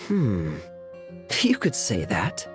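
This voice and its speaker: An actor playing a suave, teasing cavalry captain in a video game, with a smooth, playful, theatrical delivery. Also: male voice, lowish voice, huge pitch swings, takes it slowly, faint music behind, heavily acted. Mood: courteous, teasing. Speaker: game character. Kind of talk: scripted video game voice acting.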